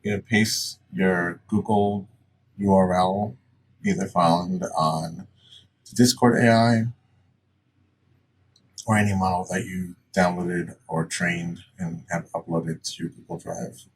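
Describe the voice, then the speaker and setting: A man presenting a casual step-by-step software tutorial in a plain-spoken, instructional way. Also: low voice, steady tone, takes it slowly, breathy edge. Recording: distant mic, faint background noise.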